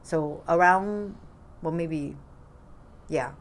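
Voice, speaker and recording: A woman, speaking haltingly and softly as an interviewee recalling her family's history. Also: low voice. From Vietnam. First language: Cantonese. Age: 40s. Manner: halting, soft-spoken, frequent laughs.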